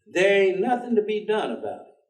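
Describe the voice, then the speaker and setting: A man's voice: high-pitched, very animated, brisk. An actor playing an earnest, persistent, folksy working man in a staged dramatic reading, speaking colloquially. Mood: grave, probing. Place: theatre stage. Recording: echoey theatre, distant microphone.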